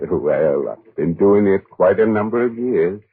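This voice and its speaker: Humble old newspaper columnist, 1940s radio play: husky, swooping, halting, anxious, on a tinny vintage broadcast. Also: male voice, deep voice, expressive, slight background noise. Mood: absent-minded, wistful. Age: older. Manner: hesitant, stammering, earnest. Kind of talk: 1940s radio drama acting. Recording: muffled, band-limited 1940s radio recording.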